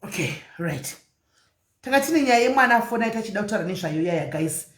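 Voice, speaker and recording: A woman hosting a live chat show, speaking in a calm, affirming, unhurried way. Her voice sits in a middle pitch and sounds roomy, with a noticeable echo around it. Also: noisy background. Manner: calm, affirming, frequent murmured agreement. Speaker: host of live advice show. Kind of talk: casual live-stream chat. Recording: echoey room.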